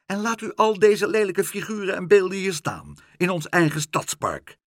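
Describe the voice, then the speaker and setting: A man voicing a fussy, indignant woman in a children's storybook, snappish and shrill as she nags and complains. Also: big pitch swings, normal speed, no room sound, clean studio sound. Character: fussy, indignant, scolding woman, easily outraged.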